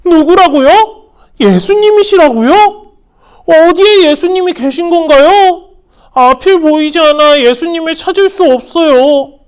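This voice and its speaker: A desperate blind beggar man, pitched high above a normal speaking voice, calling out slowly and loudly, as a woman storyteller puts him on. He stretches his words into long pleading cries in a storybook telling. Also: expressive. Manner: loud, drawn-out pleading cries.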